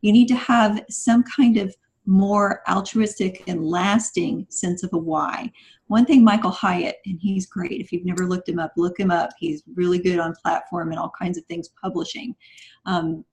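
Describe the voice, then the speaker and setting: A woman's voice: on the low side, normal speed, darkish tone. Encouraging, earnest woman guest. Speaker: guest writer. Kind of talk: podcast advice, conversational.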